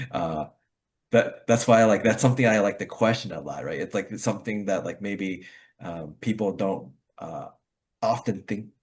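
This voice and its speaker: A man with a Connecticut accent chatting casually, rambling with many fillers and restarts. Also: lowish voice. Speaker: club host. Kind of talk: casual conversation practice.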